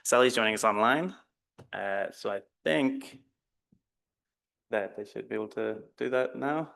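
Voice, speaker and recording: A man hosting a meeting, briskly introducing the next speaker from a distance from the mic. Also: on the low side, creaky, fairly bright tone. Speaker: meeting host.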